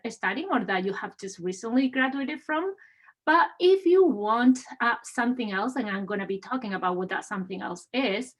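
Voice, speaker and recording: A woman's voice: on the high side, a bit bright. Spanish-accented woman hosting a webinar. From Spain. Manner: frequent "uh" fillers, upbeat and welcoming. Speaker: assistant dean hosting an admissions webinar. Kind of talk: presenting a webinar with slides.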